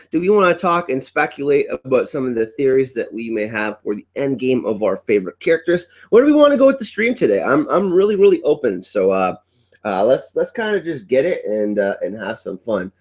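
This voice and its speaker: A man's voice: a little high, darkish tone. A congested young man with a cough, hosting a casual livestream from home, upbeat and rambling as he greets his chat. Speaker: livestream host. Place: at home indoors.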